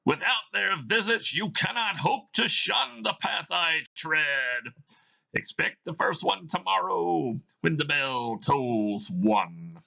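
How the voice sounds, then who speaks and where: A man voicing a tormented, ominous ghost of a dead businessman in solemn, drawn-out, wailing declamation for a stage play reading. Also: on the high side, animated, gravelly, pushed and bright, muffled recording, pitched above the performer's own voice, heavily acted. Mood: solemn warning.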